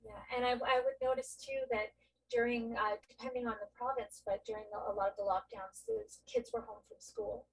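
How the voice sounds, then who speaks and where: A woman's voice: fairly high pitch, lively intonation, brisk, vocal fry. A woman panelist speaking earnestly and thoughtfully, often correcting herself mid-sentence. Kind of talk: reflective panel discussion. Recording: voice distant from the mic.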